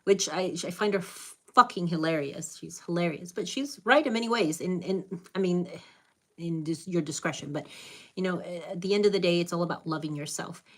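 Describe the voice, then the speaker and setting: A woman's voice: lowish voice, brisk, a bit bright. A woman with a Spanish accent, Spanish her first language, giving blunt, direct, motherly advice to viewers on a live stream.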